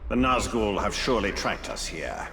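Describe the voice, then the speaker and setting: An actor playing a grim, determined male ranger in a video game, speaking gravely and with measure. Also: fairly low pitch, big pitch swings, moderate pace, bright, forceful tone, heavily acted, low-quality recording. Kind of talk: video game character acting. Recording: clear background noise and ambience.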